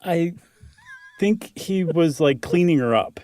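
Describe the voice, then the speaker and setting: A man's voice: fairly high pitch, animated, takes it slowly, a little mellow, dry recording, clean studio sound. A man co-hosting a podcast, reading a horror short story aloud and chatting casually, with music behind him. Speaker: podcast co-host.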